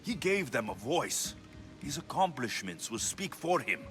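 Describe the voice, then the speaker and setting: An actor playing an earnest, determined young warrior in a dramatic video game cutscene. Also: male voice, medium pitch, sing-song, a little slow. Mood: concerned, steady. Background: prominent music.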